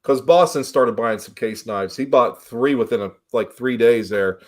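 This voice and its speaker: A man's voice: medium pitch, normal speed. A man in his forties hosting a casual chat, telling stories in a plainspoken, folksy way. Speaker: livestream host.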